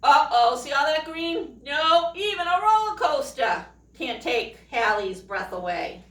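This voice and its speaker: A woman reading a children's picture book aloud expressively, pausing to put questions to young listeners. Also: high voice, very animated, fairly bright tone. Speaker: storytime reader, teacher. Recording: echoey room, distant microphone.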